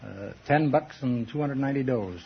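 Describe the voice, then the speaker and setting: A man's voice: mid-range voice, moderate pace, vocal fry. A man from Ohio, a quiz show contestant, giving short, plain answers to the host. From Ohio, USA. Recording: muffled 1950s broadcast, loud audience, hiss.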